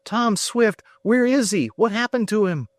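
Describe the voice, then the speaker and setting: Young clerk, eager, urgent, pitched high, read by a man, lively delivery. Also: moderate pace, no room sound, clean studio sound, lightly acted. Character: young male bank clerk, eager and anxious.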